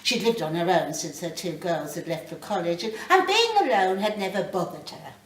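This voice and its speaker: A British woman reading a short story aloud in a dramatic, deliberate way. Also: lowish voice, very animated. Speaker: story reader. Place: live reading in a library. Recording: roomy, distant mic, faint background noise.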